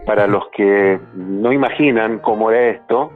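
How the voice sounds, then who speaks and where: A man's voice: lowish voice, moderate pace, faint music behind. A radio announcer, a man, recalling and explaining things conversationally, correcting himself as he goes. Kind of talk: conversational recollection. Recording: dull dry lo-fi sound.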